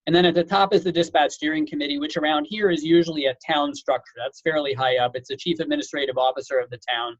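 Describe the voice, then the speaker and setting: A man's voice: fairly high pitch, steady tone, fast, hardly any pauses, no room sound. Guy doctor doing a chatty webinar talk, dull lo-fi call sound, pretty dry room. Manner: conversational, explanatory, with casual asides. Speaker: physician lecturer, medical director. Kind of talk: informal webinar lecture.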